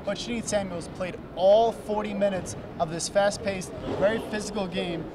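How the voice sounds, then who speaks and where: A young man with a higher-pitched voice co-hosts a basketball recap, rattling off stats and tossing questions to his partner. He holds a mic courtside in a large arena with loud noise around. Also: steady tone, normal speed. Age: young adult. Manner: stat-heavy, asks follow-up questions. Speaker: student sports co-host. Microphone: handheld mic.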